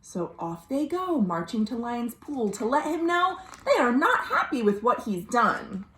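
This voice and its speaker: An American woman reading a children's story aloud, expressive and dramatic, pausing to explain things simply. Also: on the high side, slight background noise. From United States. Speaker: librarian, storytime host. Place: at home. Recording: roomy, echoey home recording.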